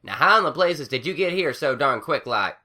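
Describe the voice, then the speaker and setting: Casting: brash hot-tempered farm mare, loud and raised, done by a man. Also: on the high side, expressive, a little fast, pitched above the performer's own voice.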